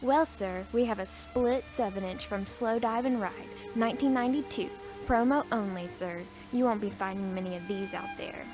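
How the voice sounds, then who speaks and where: A woman's voice: mid-range voice, normal speed, few pauses, over music, natural voice, poor audio. A woman playing a brazen, crafty old woman peddling scavenged goods in a radio play, saucy. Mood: proud, wheedling. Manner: saucy, hawking her wares.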